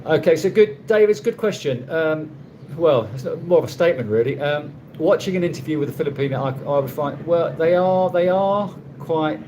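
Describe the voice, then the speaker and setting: A man casually answering viewers' questions on a live stream, rambling and halting with many restarts and a dry, wry humor. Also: on the high side, warm tone, a little hiss or hum. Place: condo room.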